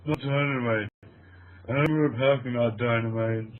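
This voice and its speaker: A man's voice: mid-range voice, steady tone, moderate pace, close to an everyday voice. A man playing a sensible, doubtful friend in an amateur comedic audio drama. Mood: matter-of-fact, doubtful. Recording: tinny phone-like audio, faint noise.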